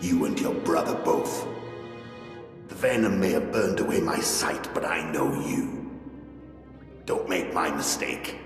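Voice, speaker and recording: An actor voicing the bitter, mad, blinded ghost of a murdered Sith lord in a video game, menacing and rambling. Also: male voice, rumbling low, unhurried, pauses often. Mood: bitter, accusing. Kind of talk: dramatic video game acting. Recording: cavernous long reverb over music.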